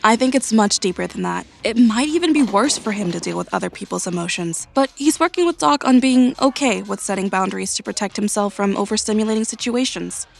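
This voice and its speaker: Casting: high school cheerleader, medium-pitched, sincere and thoughtful, natural audio drama dialogue over music. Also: female voice, fairly quick, creaky, hardly any pauses. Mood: concerned. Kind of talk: audio drama acting, conversational.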